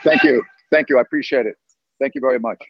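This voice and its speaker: A man's voice: mid-range voice, fast talker, no echo, dull audio. A man hosting a sports call-in show, upbeat and agreeable as he chats with callers. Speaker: radio sports talk host. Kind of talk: casual call-in chat.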